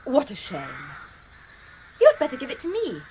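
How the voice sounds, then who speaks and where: A woman's voice: sits high, wildly swooping pitch, normal speed, mellow, rough recording. An actress playing a prim, spirited, easily flustered young woman with an English accent in a radio play. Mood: brisk. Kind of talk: 1980s radio drama acting.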